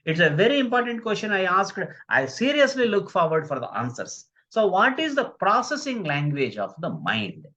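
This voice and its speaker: A man's voice: high voice, huge pitch swings, normal speed, hardly any pauses, sharp tone. A man giving a live online lecture on communication skills, stressing key phrases, repeating himself and putting questions to his viewers. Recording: dull lo-fi recording.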